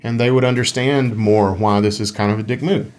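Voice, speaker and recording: A man's voice: lowish voice, fairly even intonation, brisk, darkish tone. Man chatting casually as a podcast co-host. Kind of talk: casual conversational podcast chat.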